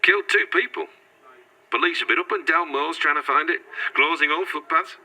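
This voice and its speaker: A man's voice: on the high side, a little fast, bright, forceful tone, breathy edge, heavily acted, low-quality recording. A man playing a chatty, folksy pub landlord in an audio drama. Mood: chatty, knowing. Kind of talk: horror audio drama acting.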